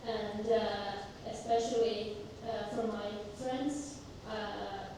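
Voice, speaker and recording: A woman with a Finnish accent, a native Finnish speaker, moderating a seminar and talking slowly and hesitantly with many fillers. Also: medium pitch, fairly even intonation. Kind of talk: personal talk at a seminar. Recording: echoey hall, mic far off, noisy.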